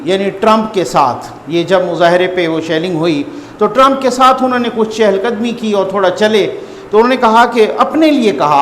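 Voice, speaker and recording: A man with a Pakistani accent giving informal news commentary to viewers, conversational and repeating phrases for emphasis. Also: high-pitched. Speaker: host and political news commentator. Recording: echoey reverberant room, faint background noise.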